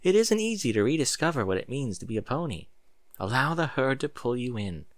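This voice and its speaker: A man voicing a kindly, wise pony princess in a storybook, gentle, measured and reassuring. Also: medium pitch, big pitch swings, no echo, clean studio sound, natural voice. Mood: gentle, encouraging.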